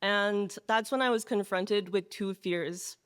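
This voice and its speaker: A woman's voice: mid-range voice, slow, clean tone. A woman in her twenties, a recent graduate, giving an engaging storytelling speech. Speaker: graduate speaker. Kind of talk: prepared speech. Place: reception room at a faculty club.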